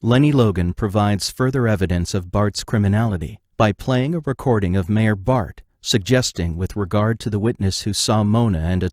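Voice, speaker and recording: Low-voiced male drama narrator, dry. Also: darkish tone, no echo. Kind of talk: scripted audio drama narration.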